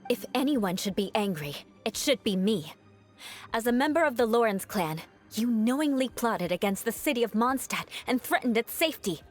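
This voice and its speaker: A woman voicing a proud young noblewoman knight in a video game, composed and defiant, with formal, measured, coolly disdainful delivery. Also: fairly high pitch, animated, faint music behind, lightly acted. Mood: firm reproach.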